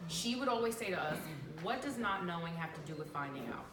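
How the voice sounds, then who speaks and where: A woman speaking on a panel, animated and emphatic as she tells stories with punchy endings. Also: on the low side, fast talker, over a noisy crowd. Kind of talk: panel discussion. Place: event room with live audience. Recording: echoey room, distant mic, audience noise.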